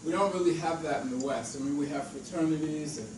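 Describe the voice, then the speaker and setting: A man's voice: on the high side, quick pace. A man in the audience offers a long comment, thinking aloud and restarting some phrases. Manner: thoughtful, restarts his phrasing. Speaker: audience member making a comment. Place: lecture hall. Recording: echoey hall, voice from far off, clear background noise.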